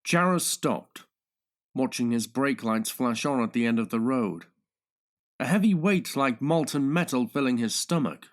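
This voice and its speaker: A man's voice: medium pitch, animated, measured pace. A man narrating a thriller audiobook in a dramatic, scripted style.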